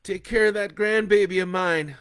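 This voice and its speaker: A man's voice: sits high, normal speed, a bit bright, clean tone, pitched above the performer's own voice. A man doing a strained, urgent grandfather. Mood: grieving. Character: middle-aged father and grandfather, tense.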